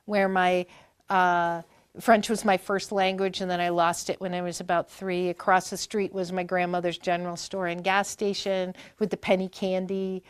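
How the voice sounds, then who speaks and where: A woman's voice: fairly low pitch, flat delivery, moderate pace, clear, resonant tone, dry recording. Chatty woman, dry close sound. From Maine. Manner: chatty, rambling with many fillers and restarts. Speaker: performer and guest. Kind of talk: conversational interview storytelling. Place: public library talk.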